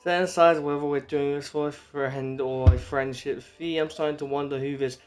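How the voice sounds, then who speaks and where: A man's voice: on the high side, steady tone, moderate pace, darkish tone. A man giving casual, rambling, informal commentary as he streams a game. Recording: faint game music behind.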